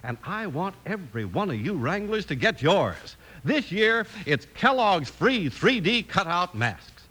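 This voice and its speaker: A man's voice: sits high, pushed and bright. An American man announcing and narrating a 1950s radio show, dramatic and emphatic, like a born salesman. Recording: old radio transcription with music.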